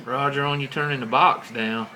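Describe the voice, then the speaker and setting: A man's voice: mid-range voice, fairly even intonation, moderate pace. Folksy American man on noisy CB radio. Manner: folksy, colloquial. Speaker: CB radio operator. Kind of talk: casual CB radio chat with radio slang. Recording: clearly noisy CB radio setup.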